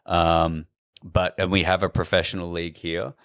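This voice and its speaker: An Australian man, a guest on a podcast, chatting casually in a relaxed, rambling way with lots of fillers. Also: low-pitched, normal speed, no room sound, studio quality. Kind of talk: casual chat. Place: podcast studio.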